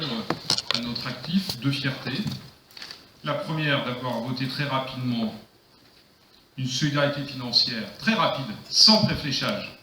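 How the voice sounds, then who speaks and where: A man's voice: mid-range voice, far from the mic. A man speaking as a mayor, giving an improvised political rebuttal in an emphatic, declamatory way with rhetorical repetition. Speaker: mayor chairing the council. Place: council meeting room. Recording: echoey room, faint noise, clicks.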